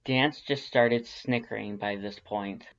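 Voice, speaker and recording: A man's voice: fairly low pitch, fairly even intonation, takes it slowly, fairly bright tone. Male story narrator, slightly muffled sound. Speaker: narrator of fan fiction readings.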